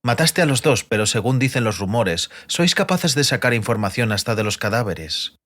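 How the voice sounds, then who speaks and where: Fantasy audiobook bard, male actor: curious, witty, theatrical, with wide swings in pitch. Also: expressive, moderate pace, a bit bright. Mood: curious, probing. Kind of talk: dramatized fantasy audiobook dialogue.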